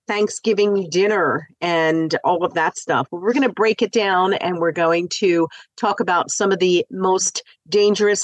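A woman's voice: mid-range voice, dry recording. A woman hosting a live radio show, sounding stuffy from the flu, talking in an upbeat, chatty way. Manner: bubbly, upbeat, chatty with fillers. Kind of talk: chatty live radio talk on home improvement. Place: radio studio.

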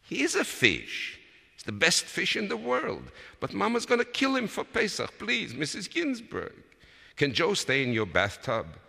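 A man's voice: high voice, huge pitch swings, a little slow, vocal fry, pushed and bright, voice pushed up, exaggerated voice. A man voicing an earnest, pleading nine-year-old child in a story told aloud. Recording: long reverberant hall.

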